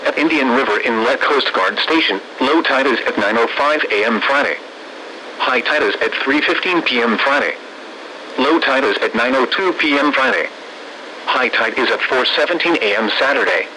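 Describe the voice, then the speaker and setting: An automated male announcer reading a scripted weather bulletin in formal, measured, synthetic phrasing. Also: medium pitch, bright, forceful tone. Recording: noisy weather radio broadcast.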